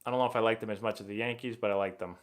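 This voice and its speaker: A young man hosting a live baseball stream, chatting casually and rambling excitably as he answers viewer questions. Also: on the low side, racing. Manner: rambling and excitable, many fillers and restarts.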